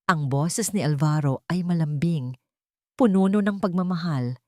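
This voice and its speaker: A Filipino woman reading a dramatic romance story as a storyteller, in a solemn, measured, emphatic way. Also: sits low, no room sound, studio quality.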